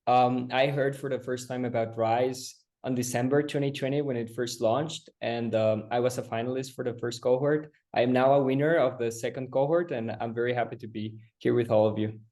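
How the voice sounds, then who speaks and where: A man's voice: medium pitch, steady tone, fairly quick, few pauses, a little mellow. Cheerful man from Mexico on a call. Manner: cheerful, polite. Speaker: program winner, guest. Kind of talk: self-introduction on a call. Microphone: video call.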